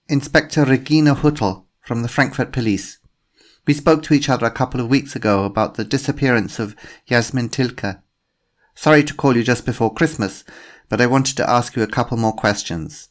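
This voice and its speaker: A man's voice: mid-range voice, moderate pace, voice pushed up, natural voice. A man voicing a female police inspector with a slight German accent, politely persistent in her questions. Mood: probing.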